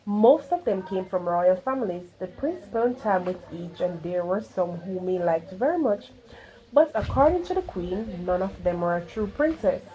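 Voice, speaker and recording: A woman reading a children's story aloud in a careful, expressive storytelling manner, with slight stumbles. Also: medium pitch, moderate pace, lo-fi sound. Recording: clearly noisy home recording.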